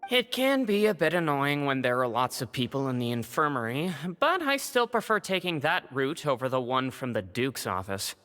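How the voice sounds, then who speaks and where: Teasing young worker, video game, casual banter, forward bright tone, animated pitch, reverberant. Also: male voice, normal speed, hardly any pauses. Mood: breezy, teasing. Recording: long reverb.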